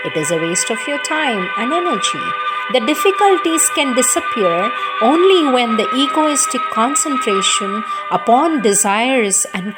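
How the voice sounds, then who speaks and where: A woman's voice: mid-range voice, rough recording. Woman reading devotional text over prominent music. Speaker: reader of spiritual texts. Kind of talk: devotional read-aloud, scripted.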